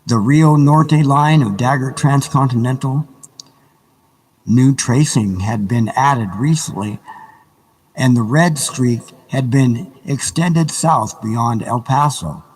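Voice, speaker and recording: A man narrating an audiobook live in a deliberate, measured, dramatic reading style. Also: medium pitch, darkish tone, husky, big-room sound, poor audio. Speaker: narrator and reader. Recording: live reading with background ambience noise. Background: ambient background sounds.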